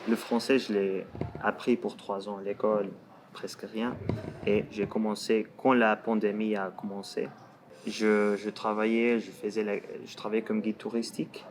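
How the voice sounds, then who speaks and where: Portuguese guy, lowish voice, chatting in french he's still learning, keeps hunting for words. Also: little pitch movement, moderate pace, a little hiss or hum. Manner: searching for words, frequent restarts. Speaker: guest, language youtuber. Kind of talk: casual conversation in a learned language. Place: outdoors in a city.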